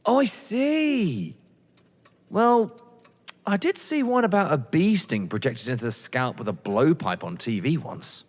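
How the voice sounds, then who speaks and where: Young British male lead, acted: wary, exasperated, very swoopy pitch, slowish delivery, audio-drama style with hall reverb. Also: heavily acted. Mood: hesitant, puzzled. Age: young adult. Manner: indignant, excitable. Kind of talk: stage-play audio drama acting.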